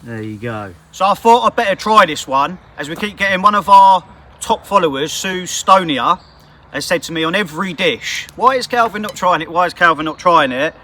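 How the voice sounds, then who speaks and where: A man's voice: high voice, expressive, normal speed, bright, forceful tone. A man co-hosting an outdoor cooking vlog in the woods, giving dry, understated replies. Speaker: co-host. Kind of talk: casual banter.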